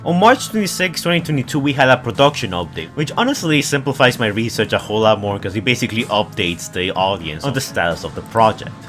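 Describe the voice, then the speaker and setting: Deep-voiced man, very animated commentary. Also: fast talker, hardly any pauses. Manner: enthusiastic fan talk, informal slang. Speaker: YouTube host. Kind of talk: casual movie news commentary, partly scripted. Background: background music.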